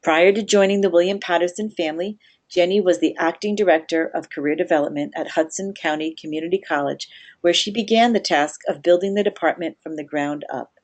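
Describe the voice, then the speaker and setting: A woman hosting a webinar for an alumni office, reading a scripted introduction of the speaker in a measured, formal way. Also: on the low side. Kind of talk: scripted introduction read aloud.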